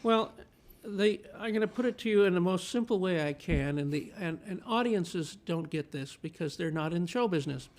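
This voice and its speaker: A man in his fifties, born in Chile, talks with an eager, storytelling enthusiasm. His voice sits a touch high, and he often repeats a word or two as he gets going. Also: expressive, moderate pace. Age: 50s. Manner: enthusiastic storyteller, repeats words when starting. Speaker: film critic, guest. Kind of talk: casual interview conversation.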